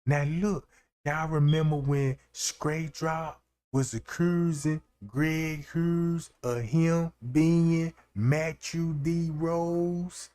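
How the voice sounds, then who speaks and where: Stream host, male, unhurried and warm-toned, casual rambling commentary. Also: on the high side, steady tone, clear, resonant tone. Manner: loose, slangy and repetitive, often asking "you know what I'm saying". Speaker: livestream host.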